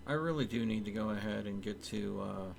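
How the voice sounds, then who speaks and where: A man's voice: lowish voice, a little fast, gravelly, background noise. A man doing casual gameplay commentary as a streamer, talking absent-mindedly to himself. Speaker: game streamer.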